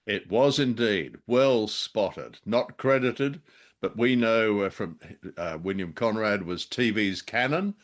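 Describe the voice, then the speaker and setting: Low-voiced mature man, wry podcast co-host. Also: animated, slow. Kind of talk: casual discussion of old-time radio drama.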